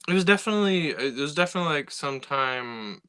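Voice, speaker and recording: Young guy, bright voice, rambly, lots of you knows and likes, just chatting. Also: on the high side, animated, quick pace, clean tone. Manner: rambling, many fillers and restarts. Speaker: sci-fi writer. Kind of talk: casual conversation.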